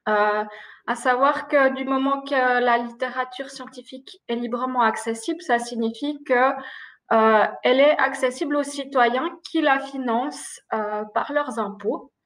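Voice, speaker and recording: A woman, a library director answering on a video call panel in a structured, measured way. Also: high-pitched, fairly even intonation, a bit bright. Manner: structured, measured, some fillers. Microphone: video call, laptop webcam.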